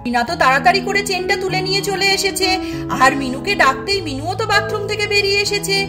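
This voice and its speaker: A woman's voice: very high voice, quick pace, loud music behind the voice. A woman narrating a children's doll story as the channel's host.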